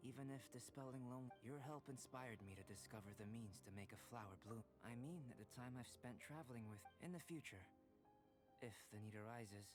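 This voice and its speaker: An actor playing a calm, scholarly, introspective young male alchemist in a video game, speaking in a measured, formal, reflective way. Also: medium pitch, close to an everyday voice. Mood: reflective, solemn. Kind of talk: video game dialogue acting. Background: background music.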